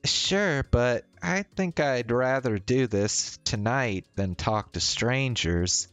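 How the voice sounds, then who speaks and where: A man voicing a quirky, dorky young woman with dark humor, playful and casual, in a visual novel. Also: medium pitch, animated, slow pace, clear, resonant tone, no echo, faint music behind. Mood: reluctant, casual.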